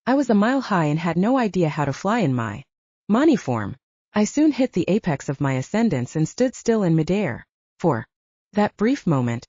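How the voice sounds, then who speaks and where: A woman's voice: lowish voice, mellow, no room sound, dull audio. A woman narrating a fiction audiobook in a dramatic first-person storytelling style.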